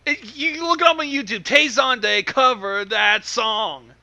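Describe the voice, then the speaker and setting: A man in casual, joking livestream chat. His voice sits high for a man and is extremely bright and forceful, like a commentator chiming in on someone else's reading. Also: measured pace.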